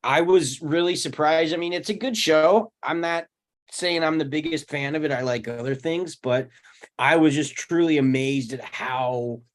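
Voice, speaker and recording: Halting, reflective man chatting casually. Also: fairly high pitch. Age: 30s. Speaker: table read participant. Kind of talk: casual group discussion.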